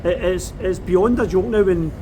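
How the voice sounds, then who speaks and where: A Scottish man hosting a casual football podcast outdoors, talking in an animated way and asking rhetorical questions. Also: high voice, unhurried. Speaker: podcast host. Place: outdoors on a street after a match. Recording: outdoor street noise behind.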